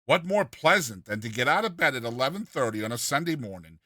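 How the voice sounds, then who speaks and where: Male narrator for humorous short fiction: crisp forward brightness, mock-earnest theatrical reading, very dry studio-like sound. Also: mid-range voice, lively intonation, quick pace, no room sound. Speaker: reader and narrator of a short story. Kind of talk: dramatized storytelling narration of a humorous classic essay.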